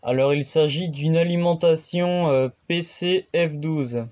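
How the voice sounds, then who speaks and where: Mellow-voiced hesitant radio hobbyist man. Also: on the high side, a little slow. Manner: hesitant, many fillers and restarts. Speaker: radio hobbyist and channel host. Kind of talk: casual on-air radio chat.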